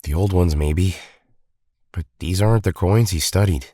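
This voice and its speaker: A man's voice: deep voice, normal speed, dark tone, no room sound, studio quality. A man playing an earnest, troubled young superhero team leader in an audio drama, questioning and conscientious.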